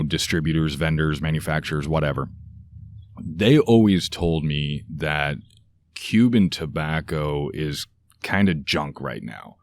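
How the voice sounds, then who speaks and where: Deep-voiced man rambling in odd metaphors. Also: no room sound. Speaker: podcast co-host. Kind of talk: casual chat. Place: outdoors, light breeze.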